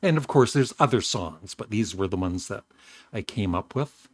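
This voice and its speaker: An older man from Canada, hosting his own show, chatting casually and rambling a little, his voice a bit rough today. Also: on the low side, animated, moderate pace, dry recording. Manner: rambling, self-correcting, warm chuckles. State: slightly rough voice. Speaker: host. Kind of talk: casual vlog talk.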